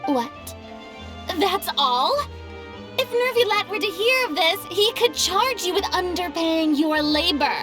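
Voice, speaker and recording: A woman's voice: very high voice, lively intonation, takes it slowly, exaggerated voice. A woman voicing a proud, theatrical young female star in a video game, pompous and grandly dramatic yet easily flustered. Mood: indignant surprise. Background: background music.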